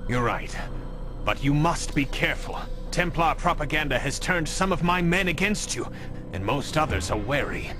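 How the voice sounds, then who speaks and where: Casting: male actor for an earnest, cautious resistance leader in a game, higher-pitched, grave, over clear background music. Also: lively intonation, moderate pace, lightly acted. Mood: cautioning. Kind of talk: video game voice acting. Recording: prominent music under the voice.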